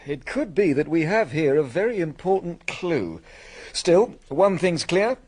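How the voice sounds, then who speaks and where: An actor playing a courteous, methodical police inspector in a radio drama. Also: male voice, fairly high pitch, big pitch swings, a little mellow. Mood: brisk, probing. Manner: probing, polite, measured.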